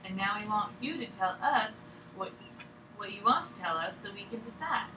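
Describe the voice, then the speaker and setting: A woman's voice: mid-range voice, lively intonation, moderate pace, natural voice. Woman acting persistent polite questioner, echoey room. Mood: patient, persistent. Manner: polite, deferential, insistent. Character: patient, persistent woman official questioning a sick patient. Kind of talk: table read of a drama. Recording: echoey room, distant mic, noisy background.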